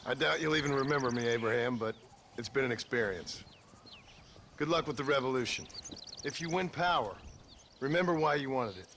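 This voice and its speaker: Game role: male actor as terse American gunslinger, gruff, sardonic, medium pitch. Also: fairly quick, pauses often, a bit bright, slight background noise, natural voice. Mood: dry, weary. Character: gruff, blunt American outlaw forced into government service. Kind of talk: video game cutscene acting.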